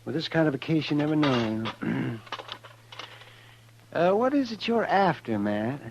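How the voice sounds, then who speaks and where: An actor playing a crusty, folksy old country doctor who hems and haws in a 1950s radio western. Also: male voice, a little high, very animated, a little slow, darkish tone, slight background noise, full character voice. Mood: grave, matter-of-fact. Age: older. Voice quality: creaky, gravelly. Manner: hemming, folksy. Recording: dull vintage 1950s radio recording.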